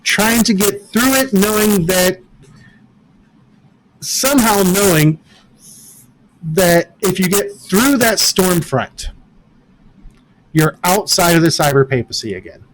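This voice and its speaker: A man's voice: high-pitched, expressive, slow pace, long pauses, rough recording. A man acting as game master at a tabletop game, explaining the rules patiently and methodically.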